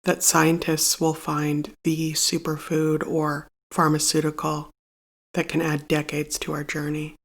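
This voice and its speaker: A meditation guide reading a scripted narration in a measured, solemn and instructive manner. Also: mid-range voice, monotone.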